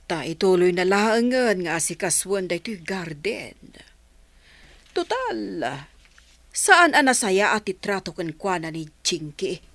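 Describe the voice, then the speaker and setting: A worried, reproachful village mother played by a woman in an Ilocano radio drama. She has a mid-pitched, very expressive voice with an Ilocano accent, and the sound is dry. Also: dry recording.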